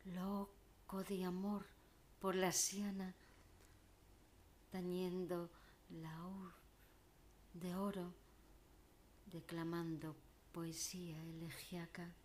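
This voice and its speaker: Slow, theatrical woman reciting poetry. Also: fairly low pitch, flat delivery, a little mellow, slight background noise. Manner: solemn, theatrical, deliberate phrasing with pauses. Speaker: poet reciting own poem. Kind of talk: dramatic poetry declamation.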